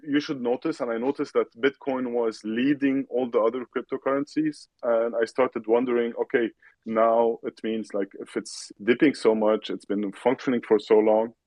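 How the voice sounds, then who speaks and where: A man's voice: medium pitch, dry recording, highs cut off. Lebanese man telling his story, dry sound. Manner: measured, with frequent restarts and fillers. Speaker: guest. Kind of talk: recorded online audio chat.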